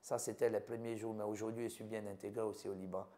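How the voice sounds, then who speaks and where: A man's voice: fairly low pitch, little pitch movement, fast, mellow. A man in his fifties from Côte d'Ivoire with an Ivorian French accent, giving an earnest personal account in an interview and speaking in short listed phrases. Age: 50s. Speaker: doctor interviewed about his life.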